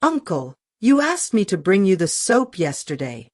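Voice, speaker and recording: An innocent, wronged village boy from a storybook folktale, as a woman narrator plays him. He sounds earnest and pleading, with animated pitch in a dry recording. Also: measured pace, no room sound. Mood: disbelieving. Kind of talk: storybook character voice.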